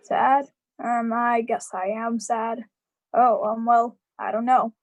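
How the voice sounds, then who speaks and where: A woman's voice: fairly high pitch, little pitch movement. A woman playing a lonely, sad uncle in a live radio drama, hesitant and evasive. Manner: hesitant, halting.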